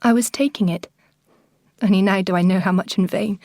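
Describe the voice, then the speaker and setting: Actress doing sad jealous victorian wife, radio drama monologue, mellow voice, dry studio sound, restrained. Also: female voice, medium pitch, a little fast, no room sound, lightly acted. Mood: sorrowful, resigned. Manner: sorrowful, confessional, restrained. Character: unhappy, jealous Victorian wife voicing her farewell letter.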